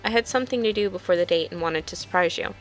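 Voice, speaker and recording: A woman's voice: lowish voice, fast talker, faint music behind, natural voice, low-quality recording. A woman voicing an earnest, tender teenage boy in love in an amateur story read-aloud.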